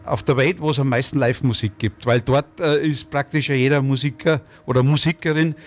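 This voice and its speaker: A man's voice: medium pitch, fairly even intonation, a little fast. Older man with an Austro-Bavarian accent. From Germany or Austria. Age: 60s. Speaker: country musician, interview guest. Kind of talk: casual interview talk.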